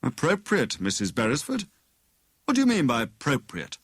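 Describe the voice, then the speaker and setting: A man voicing a titled gentleman, courteous and formal, a guarded villain in a mystery story. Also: medium pitch, wildly swooping pitch, normal speed, husky, no echo, pitched above the performer's own voice, exaggerated voice.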